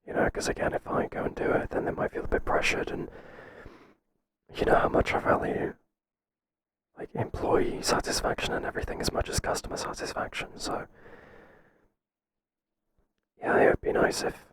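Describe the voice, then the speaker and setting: A man playing a hesitant, flattering boss in a whispered ASMR roleplay, speaking softly and breathily in a halting, confiding way. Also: very noisy, close to an everyday voice. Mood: reassuring, businesslike. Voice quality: soft, breathy, mostly whispered.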